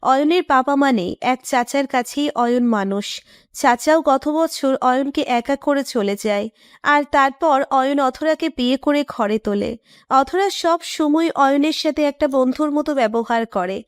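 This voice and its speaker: A woman narrates a scripted romantic story in a high-pitched voice. The sound is dry and close, with a storyteller's delivery. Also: measured pace, dry recording.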